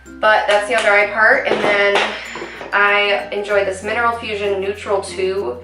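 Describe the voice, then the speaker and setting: A young woman with a slightly raspy voice talks her way through a makeup routine, rambling and filling the pauses with ums. She sits a little back from the camera mic in a small home room, so her voice carries a roomy echo, with faint music underneath. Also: medium pitch, level tone, distant mic. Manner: chatty, rambling with many ums. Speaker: beauty vlogger. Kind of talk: casual makeup tutorial.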